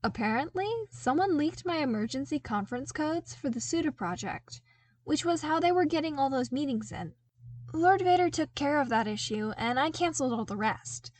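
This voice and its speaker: An earnest young man, voiced by a woman in an audiobook, eager and a little sheepish. The voice is high and artless, chatting along in a dry, close recording. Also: expressive, moderate pace, no echo. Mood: bright. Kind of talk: audiobook character dialogue.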